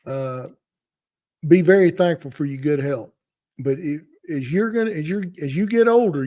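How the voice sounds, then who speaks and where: A man in his sixties talking off the cuff on a podcast, with a halting, folksy, earnest manner. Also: a little high, dark tone. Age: 60s. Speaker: podcast co-host. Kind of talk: unscripted talk, opinion and testimonial.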